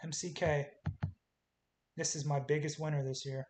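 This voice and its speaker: A man talking casually through stock charts in short, clipped calls, his voice going hoarse as he says he is losing it. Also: on the high side, level tone, normal speed, darkish tone. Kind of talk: casual screen-share chart commentary. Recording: faint hiss.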